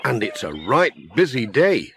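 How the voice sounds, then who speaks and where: A man's voice: medium pitch, sing-song, unhurried, a bit bright, slight background noise. A man voicing a cheerful, friendly village postman in a storybook reading, with hearty, jolly exclamations.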